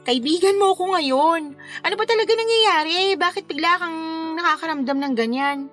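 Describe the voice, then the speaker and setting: Actress as playful, frank young career woman, high voice, animated colloquial delivery, faint music underneath. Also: moderate pace. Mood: frank, reassuring. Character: young female wedding planner, playful, frank, concerned friend. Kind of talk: radio drama dialogue.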